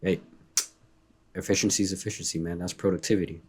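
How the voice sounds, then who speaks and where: Deep-voiced, hoarse laid-back co-host. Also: male voice, steady tone, a little mellow, slight background noise. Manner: laid-back, joking, quick quips. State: hoarse, recovering from illness. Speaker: podcast co-host. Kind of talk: casual sports podcast chat. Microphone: remote call recording.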